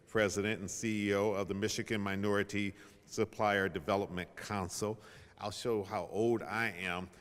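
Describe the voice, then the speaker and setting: Low-voiced man moderating in a hall. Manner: conversational, joking, self-deprecating. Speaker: panel moderator. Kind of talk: informal spoken introduction to an audience. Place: large reverberant event room.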